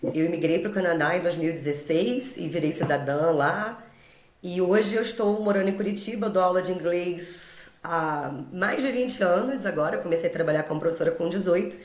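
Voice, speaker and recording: A woman's voice: fairly low pitch, a little fast, darkish tone. A woman in her forties with a Carioca accent from Rio de Janeiro, telling her story conversationally as a podcast guest and teacher. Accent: Carioca Brazilian Portuguese. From Rio de Janeiro, Brazil. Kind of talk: conversational personal storytelling in an interview. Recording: roomy echo.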